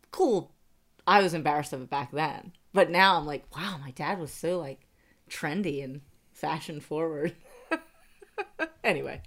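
A woman in her forties from Pennsylvania, co-hosting a casual money podcast, chatty, laughing often and poking fun at herself. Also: sits low. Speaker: podcast co-host. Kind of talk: casual chat.

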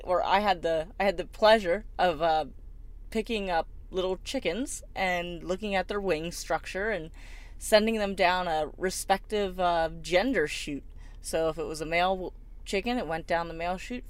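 A middle-aged woman with a low-set voice co-hosts a podcast in a relaxed, chatty way. She rambles through a personal story, full of fillers and false starts, and laughs easily. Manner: rambling, laughs often, many fillers and restarts. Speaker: podcast co-host. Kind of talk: casual storytelling chat.

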